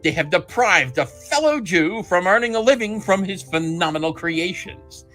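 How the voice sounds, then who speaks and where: Male livestream host, bright forceful tone with lively swings, theatrical and mocking delivery. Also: sits high, normal speed, soft background music. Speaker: host of a comics talk livestream. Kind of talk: dramatic letter reading and casual group chat. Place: online group call.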